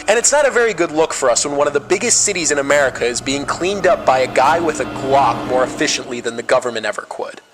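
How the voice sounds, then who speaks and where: A man's voice: medium pitch, animated, fast talker, few pauses, music in the background, close to an everyday voice. A man voicing a ruthless, commanding middle-aged woman who runs a government agency in a motion comic, curt and threatening. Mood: cool bargaining.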